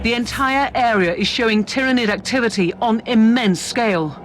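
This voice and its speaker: An actor playing a dutiful starship captain in a sci-fi video game, reporting formally and with deference. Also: male voice, very high-pitched, normal speed, a bit bright, slight background noise. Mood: concerned. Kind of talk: dramatic video game dialogue.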